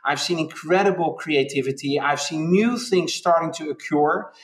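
A man's voice: fairly high pitch, recorded from a distance. Middle-aged man on a roomy video call. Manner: reflective, halting with restarts. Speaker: interviewed business leader. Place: home room indoors. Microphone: laptop on a video call.

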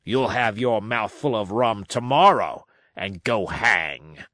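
Man acting a shrewd pirate ringleader, salty and scornful, unhurried, widely swinging pitch, storybook character. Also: expressive, bright, forceful tone, no room sound, full character voice. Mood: scornful, heated. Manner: salty sailor talk, scornful, emphatic. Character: Long John Silver, shrewd domineering pirate sea cook.

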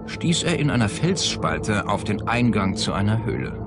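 A man's voice: on the low side, huge pitch swings, normal speed. A man reading scripted documentary narration. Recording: loud music under the voice. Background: prominent background music.

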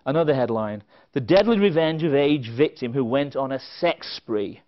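A man's voice: medium pitch, expressive, normal speed. A middle-aged man giving a prepared conference lecture, with wry, dry humorous asides and emphasis on quoted phrases. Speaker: conference speaker. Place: indoor stage at a conference podium. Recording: slightly dull, band-limited event recording.

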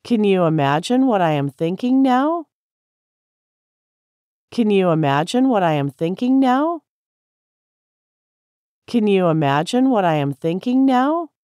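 A woman with an American accent reading English practice sentences clearly and carefully, repeating each one for learners to copy. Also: medium pitch, big pitch swings, unhurried, long pauses, mellow, dry recording.

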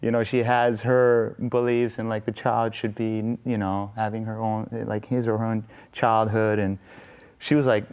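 A man's voice: fairly low pitch, level tone, moderate pace, darkish tone, clean tone. A young man from Los Angeles, a guest in a TV studio interview, telling stories in a chatty way with lots of "you know" and "like". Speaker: guest musician. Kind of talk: casual interview storytelling. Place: indoor TV studio set.

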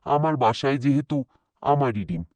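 A woman's voice: rumbling low, expressive, normal speed, darkish tone, dry recording, pitched below the performer's own voice. A woman voicing a proud, bossy, indignant crow king in a children's cartoon story. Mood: puzzled. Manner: haughty, scolding.

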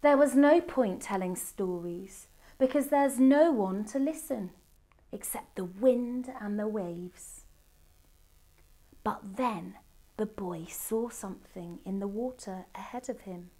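A middle-aged woman reading a children's storybook aloud expressively, with deliberate dramatic pauses. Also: mid-range voice, warm tone. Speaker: storybook reader. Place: indoor room by a Christmas tree. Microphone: camera a short distance away.

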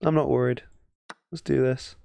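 A man's voice: mid-range voice, fairly even intonation, normal speed, vocal fry, mellow, smooth, no echo. A man with an English accent giving casual, thinking-aloud game commentary as a chess streamer, often muttering half-finished thoughts. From England.

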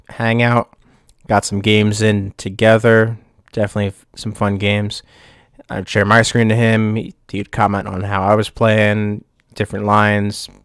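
A young man hosting his channel, giving relaxed gameplay commentary, his voice a bit off from getting over the flu, thinking aloud with pauses and restarts. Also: on the low side, clean tone, no echo, clean studio sound. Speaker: YouTube channel host. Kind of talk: casual gameplay commentary over screen recording.

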